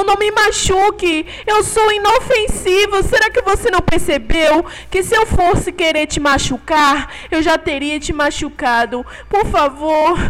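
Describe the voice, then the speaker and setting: A woman's voice: very high-pitched, fairly bright tone, a little hiss or hum. A woman with a Brazilian accent voicing a timid, lonely, odd-looking baby male dinosaur who pleads and stammers. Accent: Brazilian Portuguese. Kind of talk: game roleplay voice acting.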